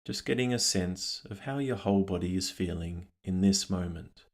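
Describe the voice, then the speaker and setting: A man leading a guided meditation, speaking calmly and gently in unhurried phrases with long pauses. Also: deep voice. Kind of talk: guided meditation, scripted narration.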